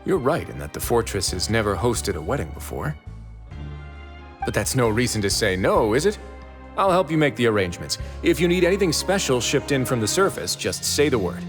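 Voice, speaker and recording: A man playing a grave, composed duke in a video game, speaking in a measured, polite, understated way. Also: mid-range voice, sing-song, in a large hall, music in the background, close to an everyday voice. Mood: polite, obliging. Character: composed, grave male fortress duke with a dark past. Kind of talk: dramatic video game acting.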